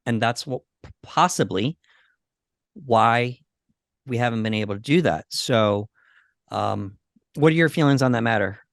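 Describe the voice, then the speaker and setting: A man's voice: mid-range voice, unhurried, vocal fry, pauses often, no echo. Man co-hosting a casual podcast, dry sound. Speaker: podcast co-host. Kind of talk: casual discussion of games and movies.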